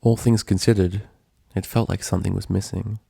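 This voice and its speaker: A man's voice: low voice, fairly even intonation, fairly quick, creaky, warm tone, breathy edge, no echo, studio quality. A man reading a piece of fiction aloud as scripted story narration. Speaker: fan fiction reader.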